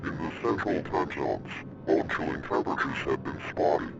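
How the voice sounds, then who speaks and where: An extremely deep-voiced man delivers a solemn, scripted emergency announcement. His formal reading is gravelly, and the recording is dull and lo-fi with music behind it. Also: moderate pace. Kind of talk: scripted doomsday emergency broadcast. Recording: dull lo-fi broadcast sound.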